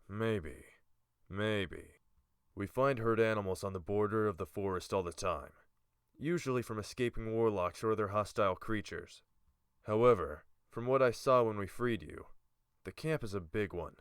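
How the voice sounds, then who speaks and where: A man playing a calm, authoritative guild-leader mage in a fantasy audiobook, speaking in a measured, smooth voice. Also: fairly low pitch, no room sound, studio quality, close to an everyday voice. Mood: reluctant, measured.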